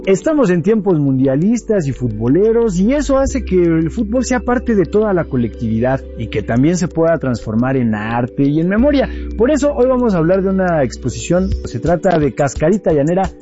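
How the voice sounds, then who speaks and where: Enthusiastic male radio host, bright melody, mellow tone. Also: high voice, normal speed, few pauses, lo-fi sound, soft background music. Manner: enthusiastic, expressive. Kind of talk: radio interview.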